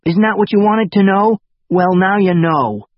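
A man's voice: sits high, sing-song, normal speed, husky, dry recording, dull audio, voice pushed up, exaggerated voice. A man doing a defiant desperate young boy. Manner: defensive, agitated.

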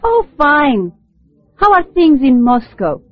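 High, lively woman greeting guests. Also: slow pace, no echo. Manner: bright, welcoming and polite. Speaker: conference receptionist in a scripted dialogue. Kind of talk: scripted language-course dialogue. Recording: dull lo-fi dry tape recording.

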